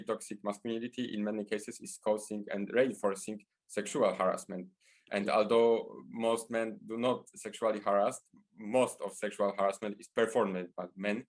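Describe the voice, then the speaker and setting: Low-voiced Polish man hosting a podcast. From Poland. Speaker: podcast host. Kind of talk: scripted podcast presenting.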